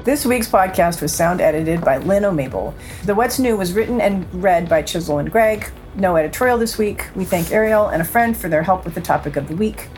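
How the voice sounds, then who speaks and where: A woman's voice: lowish voice, expressive, a little fast, a little mellow, low-quality recording. Woman reading podcast credits over music. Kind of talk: scripted narration of closing credits.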